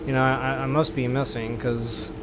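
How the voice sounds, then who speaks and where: A man's voice: medium pitch, little pitch movement, moderate pace, background noise. A man doing casual live gameplay commentary as a streamer, rambling along with folksy slang. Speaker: game streamer.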